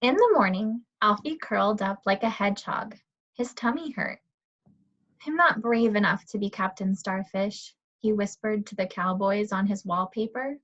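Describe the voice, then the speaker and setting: A woman's voice: medium pitch, moderate pace, a bit bright. Woman reading a picture book, muffled audio. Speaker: teacher reading aloud to children. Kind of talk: children's picture book read-aloud. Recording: dull lo-fi audio.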